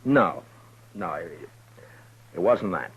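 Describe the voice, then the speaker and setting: An actor playing a steady, gruff frontier marshal in a 1950s radio drama, speaking tersely. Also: male voice, slow, heavily acted. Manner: terse, low-key delivery. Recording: dull old radio broadcast with faint hum.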